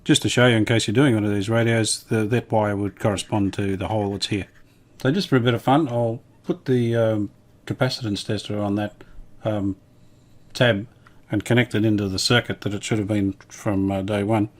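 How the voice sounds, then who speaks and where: A man with a fairly low voice presents a hands-on workshop demonstration. He talks casually and without hurry, in a matter-of-fact way, as if thinking aloud while he works. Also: fairly even intonation, normal speed. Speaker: hobbyist radio restorer presenting his video.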